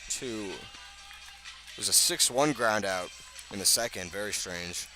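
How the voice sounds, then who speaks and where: A man doing live radio baseball play-by-play, speaking in measured short phrases with pauses between them. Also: fairly low pitch, expressive, over music, poor audio. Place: outdoors at a ballpark.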